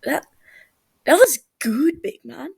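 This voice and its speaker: A woman voicing a stern, big-brotherly male villain with a dry, calm manner in a story reading. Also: high-pitched, slow pace, creaky, voice pushed up, full character voice. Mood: approving. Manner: dry, calm, hesitant when sincere.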